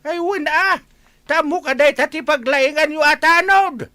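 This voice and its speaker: High, strained old Ilocano villager. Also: female voice, a little slow, pushed and bright, slight background noise. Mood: worried. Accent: Ilocano, northern Philippines. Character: anxious old village grandparent seeking lost grandchild. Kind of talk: radio comedy drama acting.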